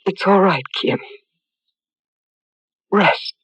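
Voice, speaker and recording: An actress playing an anguished, tender middle-aged mother in a radio drama, halting and soothing. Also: medium pitch, slightly rough. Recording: dull lo-fi old radio recording.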